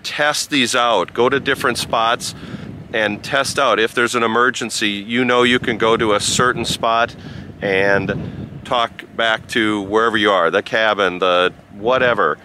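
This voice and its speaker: A man giving a casual vlog-style review outdoors in a halting, rambling way. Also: lowish voice, fairly even intonation, sharp tone, slight background noise, low-quality recording. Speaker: product reviewer and amateur radio operator. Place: outdoors by a rural road.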